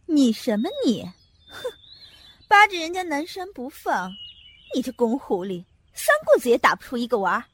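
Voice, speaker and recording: A woman's voice: high-pitched, sing-song, normal speed, fairly bright tone, husky, full character voice. A woman playing a coquettish, scheming young female fox spirit in a Chinese audio drama, cooing and catty. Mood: catty, taunting.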